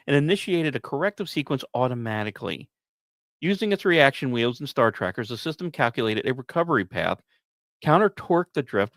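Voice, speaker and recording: Animated male science podcast host, emphatic delivery. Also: medium pitch, no echo. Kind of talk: scripted science news commentary with personal asides.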